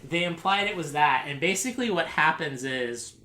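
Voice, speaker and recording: Bright-voiced sarcastic man, echoey room. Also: on the high side, expressive, normal speed. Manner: rambling, sarcastic, laughs often. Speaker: podcast host. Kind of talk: casual group podcast chat. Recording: echoey room, faint noise.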